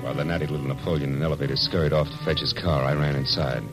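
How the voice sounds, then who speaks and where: Deep-voiced hard-boiled American private eye. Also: male voice, fairly even intonation, racing, over loud music. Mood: wry narration. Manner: curt, slangy tough-guy delivery. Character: hard-boiled, bullying male private detective, sardonic and tough. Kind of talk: 1940s-1950s radio noir acting and first-person narration. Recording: old radio drama recording.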